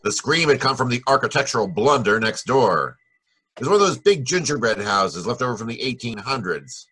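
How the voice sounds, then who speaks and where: A man playing a hard-boiled private detective in a 1940s-style radio drama, wry, brisk and tough-talking, with a husky voice. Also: medium pitch, animated, a bit bright. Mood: wry narrating.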